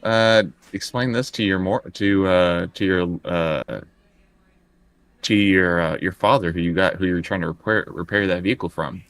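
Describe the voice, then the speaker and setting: A man's voice: low voice, moderate pace, fairly bright tone. A man playing a tabletop roleplaying game, chatting casually and hesitantly as he decides his moves.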